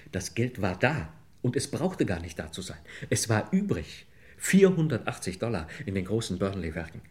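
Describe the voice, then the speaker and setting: A man playing a desperate clerk in his sixties in a mid-century German radio crime drama, halting, pleading and apologetic. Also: low-pitched. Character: desperate, pleading 62-year-old male clerk confessing embezzlement.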